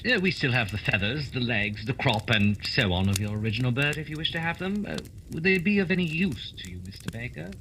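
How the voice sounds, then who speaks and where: Radio play lead: male actor as shrewd, formal detective, animated and bright-voiced. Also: mid-range voice, fairly quick, hardly any pauses, a little hiss or hum, poor audio. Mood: courteous, probing. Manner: formal, declamatory. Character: shrewd, theatrical male detective, dryly explaining.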